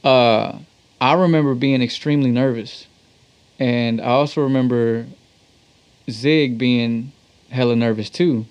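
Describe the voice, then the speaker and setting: A man's voice: mid-range voice, steady tone, a little slow, long pauses, darkish tone, smooth, muffled recording. Male musician from Texas, interview guest. Casual storyteller, loose slang and swearing. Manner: slangy, profane, conversational. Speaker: musician guest, talk box player.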